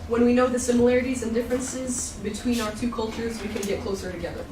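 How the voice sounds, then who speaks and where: A woman's voice: fairly high pitch, fast talker. A woman acting as an interpreter, relaying what is said to a group in short spoken passages. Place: echoey room at a group event. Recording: echoey, distant mic, loud noise, clicks.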